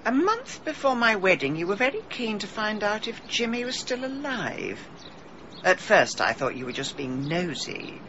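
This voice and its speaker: A woman's voice: mid-range voice, sing-song, normal speed, fairly bright tone, dull audio, slight background noise. An actress playing a dry, worldly middle-aged woman detective in a radio drama, rueful, knowing and pointed. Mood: pointed, probing. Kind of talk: British radio drama acting.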